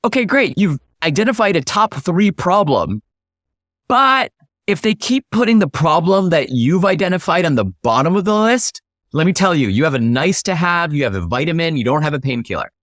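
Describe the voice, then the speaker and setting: A man with a somewhat high-pitched voice talks as a guest in an interview. He explains things in an instructive, emphatic way. The sound is very dry, with no room echo. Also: very animated, normal speed, a bit bright. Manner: emphatic, instructive, illustrates points with acted-out example questions. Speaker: interview guest, startup founder.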